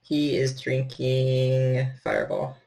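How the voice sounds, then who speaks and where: A man's voice: mid-range voice, wildly swooping pitch, slow, vocal fry, a little mellow. A man speaking casually as a storyteller for a tabletop game on an online group call. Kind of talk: casual talk and improvised game narration.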